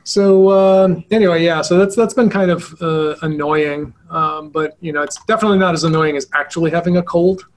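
A man's voice: a little high, fairly even intonation, brisk, hardly any pauses. Stuffy-nosed chatty man. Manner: rambling, chatty. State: stuffy, nasal congestion. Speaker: podcast co-host. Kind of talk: casual chat.

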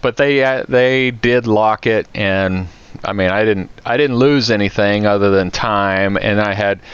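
A man's voice: on the low side, unhurried, clean tone, muffled recording. An American man chatting casually on a podcast as a co-host, telling anecdotes in a conversational way. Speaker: podcast co-host, IT security professional.

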